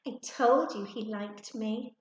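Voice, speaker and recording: A woman's voice: on the high side, takes it slowly, very echoey. A woman voicing a smug, easily annoyed schoolgirl in a children's storybook.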